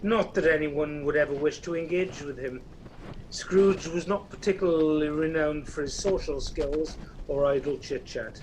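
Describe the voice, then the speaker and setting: A man narrating a scripted radio play in a wry, measured storytelling manner. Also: a little high, a little mellow, slight background noise, natural voice, rough recording.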